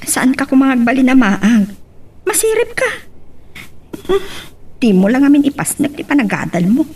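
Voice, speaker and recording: A cautious young confidante in a radio drama, admonishing with concern. Her very high voice moves through big swings of pitch and sounds set back from the mic. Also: big pitch swings, moderate pace, a little mellow, breathy edge, full character voice. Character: cautious young female confidante giving sensible advice. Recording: distant from mic.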